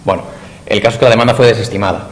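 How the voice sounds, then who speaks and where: A man's voice: on the low side, very fast. A man with a Spanish accent giving an academic conference talk in a lecture hall, explaining and addressing his audience directly. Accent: Spain. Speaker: university lecturer. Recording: reverberant hall, faint noise.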